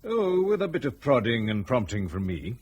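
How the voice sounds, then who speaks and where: An actor playing a tactful, wry family friend who probes gently and a little hesitantly in a radio drama. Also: male voice, medium pitch, very animated, normal speed, slightly rough, dull audio. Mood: tactful, probing.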